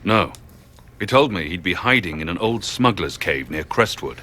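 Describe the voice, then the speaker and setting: A man's voice: deep voice, lively intonation, normal speed, sharp tone, slight background noise. An actor voicing a weary, resolute male hero in video game dialogue, grave and earnest. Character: weary, resolute male champion turned rebel mage hero.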